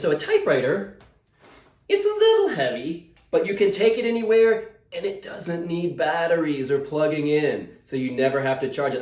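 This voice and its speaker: A man speaking as a visiting author, casually and enthusiastically explaining things to schoolchildren over a video call. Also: mid-range voice. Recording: roomy, echoey video call.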